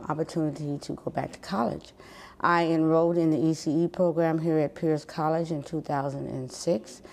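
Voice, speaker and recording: Low, mellow-voiced mature woman. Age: middle-aged or older. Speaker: returning college student. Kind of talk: personal testimonial. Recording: faint background noise.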